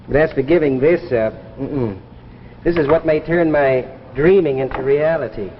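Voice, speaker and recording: An actor playing a timid, dreamy young amateur inventor in a 1950s science fiction drama, mild, wry and hesitant. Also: male voice, fairly high pitch, moderate pace, close to an everyday voice. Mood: earnest, self-doubting. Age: young adult. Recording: muffled, noisy 1950s broadcast with reverb.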